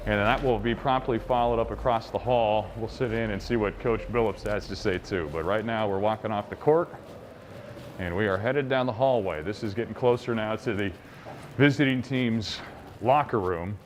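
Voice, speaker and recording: A man's voice: lowish voice, moderate pace, slight background noise. A man talking casually and explaining things step by step, like an announcer giving a behind-the-scenes tour. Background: background music.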